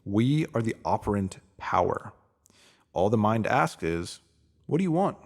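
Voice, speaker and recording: A man's voice: fairly low pitch, sing-song, a little slow. Man earnestly reading a motivational spiritual essay. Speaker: narrator.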